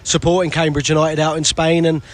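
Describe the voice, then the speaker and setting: A man's voice: fairly high pitch, flat delivery, brisk. A man, a radio reporter, interviewing a player outdoors by a pool, chatty with long lead-ins to his questions.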